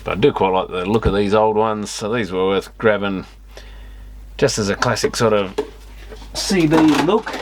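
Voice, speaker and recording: Low-voiced man, noisy clicky recording. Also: normal speed. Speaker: electronics repair vlogger. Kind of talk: casual off-the-cuff commentary while inspecting gear. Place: electronics repair workshop. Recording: clicky, clearly noisy external camera mic.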